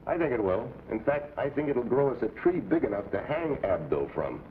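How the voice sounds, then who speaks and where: A man's voice: medium pitch, sing-song, normal speed, lightly acted. A man playing a confident, scheming American secret agent in a terse, hard-boiled way, in the style of a 1950s adventure show. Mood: determined. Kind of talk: 1950s TV adventure drama acting. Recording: tinny, noisy old TV soundtrack.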